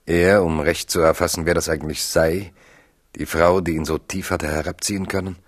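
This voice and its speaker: Very deep-voiced solemn male narrator. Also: flat delivery, no echo, studio quality. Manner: measured, solemn. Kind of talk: literary scripted narration in a radio drama.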